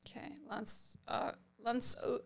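A woman co-hosting a podcast in casual, conversational chat. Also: slow pace. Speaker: podcast co-host.

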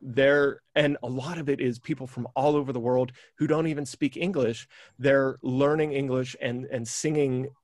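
Male podcast host, chatty and eager, dry close sound, mid pitch. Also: steady tone, normal speed, no echo. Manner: enthusiastic, rambling with many fillers. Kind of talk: casual music chat.

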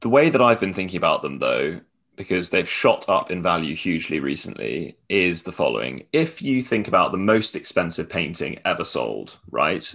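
A man's voice: low voice, sing-song, normal speed, gravelly, fairly bright tone. A man co-hosting a podcast, explaining things in a rambling, digressive way. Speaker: podcast co-host. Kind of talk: casual podcast chat.

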